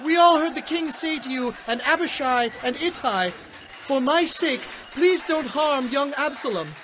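A man's voice: extremely high pitch, lively intonation, unhurried, background noise, pitched above the performer's own voice. A man voicing an indignant, defiant male soldier who argues back, in a dramatized scripture audiobook. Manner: argumentative.

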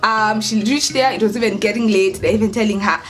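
A young adult woman with a Kenyan accent, telling a story in casual vlog style, expressive and emphatic, repeating words for stress. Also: mid-range voice, fairly quick, faint music behind. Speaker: vlogger, storyteller. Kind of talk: casual storytime chat to camera.

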